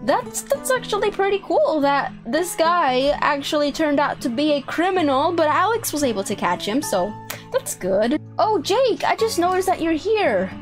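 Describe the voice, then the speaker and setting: A young woman hosting a gaming video, narrating and chatting through a roleplay in an exclamatory, animated way. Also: high-pitched, moderate pace, over music. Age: young adult. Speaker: YouTube gaming host.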